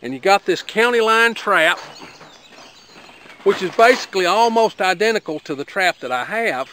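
A middle-aged man with an Appalachian accent and a fairly high voice, speaking outdoors. He talks in a folksy, plainspoken way, rambling a little and dropping in fillers as he demonstrates. Birds and rural sounds carry behind him. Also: animated, normal speed, pushed and bright, slight background noise. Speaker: homesteader vlogger. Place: outdoors by a garden.

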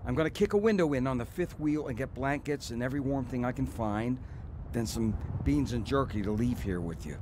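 An actor playing an earnest, emotional brother telling of his ordeal in a radio drama, with halting delivery. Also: medium pitch, animated, background noise, natural voice. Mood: urgent, tender. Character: anguished, repentant brother narrating his blizzard ordeal. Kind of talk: radio drama acting and narration.

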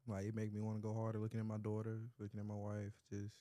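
A young man with a Memphis accent, a musician guest in a casual chat, speaking in a calm, reflective way with pauses. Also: fairly low pitch. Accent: Memphis, Tennessee. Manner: calm and reflective, hesitant with fillers.

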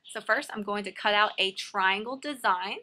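Bright-voiced woman guiding a how-to tutorial. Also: mid-range voice, normal speed. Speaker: nail art tutorial host. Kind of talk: casual instructional voice-over.

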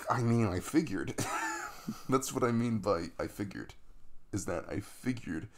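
A young man playing an easygoing, caring crush in an intimate ASMR roleplay, rambling casually with a jokey, reassuring manner and a gravelly voice. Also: lowish voice, lively intonation, a little slow, slight background noise. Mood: playful, teasing. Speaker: audio roleplay performer.

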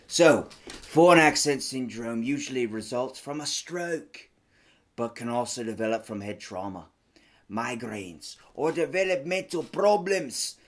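A man's voice: medium pitch, lively intonation, measured pace, lightly acted. A podcast host, a man, putting on a fake Scottish accent in joking chat. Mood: hammy. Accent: put-on Scottish. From United States. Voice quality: hammy, joking.

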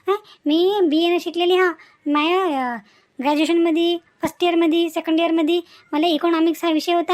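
Cartoon young village wife, played by a woman: very high, fast, scolding and scheming, rural Vidarbha Marathi accent, piles up rhetorical questions. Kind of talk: Marathi cartoon family-drama dialogue.

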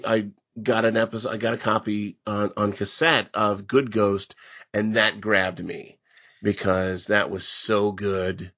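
A man's voice: on the low side, a bit bright, no room sound. A middle-aged American man hosting a casual panel discussion about old-time radio. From Pekin, United States. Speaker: podcast host. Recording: dull, lo-fi close recording.

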